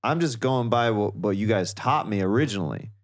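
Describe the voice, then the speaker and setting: A man guest on a comedy podcast, chatting casually and telling stories that jump up in pitch when he quotes people. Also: fairly quick. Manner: animated storyteller, acts out quotes in a higher voice.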